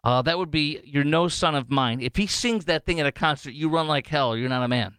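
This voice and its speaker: A man's voice: on the high side, a little fast, fairly bright tone, clear, resonant tone, dry recording. An American man hosts a talk radio show with a clean, resonant voice in a dry studio sound. He speaks off the cuff, opinionated and joking, leaning hard on his points and drifting into tangents. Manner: opinionated, jokey, digressive, emphatic. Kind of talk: unscripted talk radio monologue with live ad reads.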